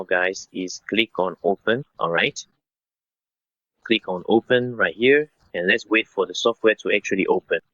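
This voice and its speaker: A man in his thirties narrating a step-by-step software tutorial in an instructional way, speaking directly to viewers. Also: deep voice, dry recording, lo-fi sound. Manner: instructional, addresses viewers as guys.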